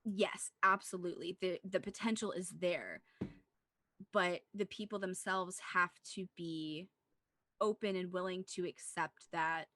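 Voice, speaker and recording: A woman's voice: lowish voice, fairly even intonation, unhurried, fairly bright tone, dry recording. Earnest woman podcaster in a dry room. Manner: earnest, rambling, leans on "like". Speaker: podcast co-host. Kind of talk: casual movie discussion.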